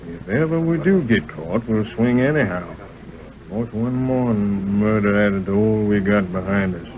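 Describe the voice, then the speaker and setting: An actor playing a hard, decisive outlaw leader with a Western drawl in an old radio western. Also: male voice, fairly low pitch, expressive, measured pace, a little mellow. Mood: cold. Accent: American Western drawl. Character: ruthless, cautious outlaw boss Paul. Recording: dull old radio recording, busy background chatter.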